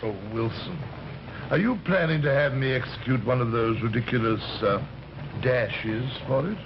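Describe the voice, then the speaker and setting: Give the voice of a suave, menacing fat villain, acted by a man in a vintage radio thriller. He condescends smoothly, pausing with little "uh"s. The old recording is dull, with faint hiss. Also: medium pitch, natural voice. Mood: condescending, threatening. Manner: unhurried, hesitant "uh"s, smoothly condescending.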